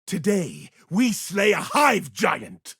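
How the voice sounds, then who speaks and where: An actor playing a brash, zealous, battle-hungry space marine with a rough gravelly voice in a video game. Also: male voice, high voice, wildly swooping pitch, slow, fairly bright tone, dry recording, studio quality, full character voice. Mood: battle roar. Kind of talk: video game combat barks.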